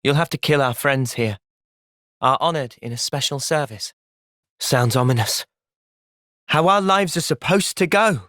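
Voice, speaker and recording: A man with a British accent playing a thoughtful, gentle young soldier in video game cutscenes, measured and earnest. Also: medium pitch, no room sound, studio quality, close to an everyday voice. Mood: quiet, pensive.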